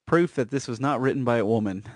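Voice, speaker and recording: A man's voice: mid-range voice, quick pace, no room sound. Man riffing casually, close dry sound. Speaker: podcast co-host.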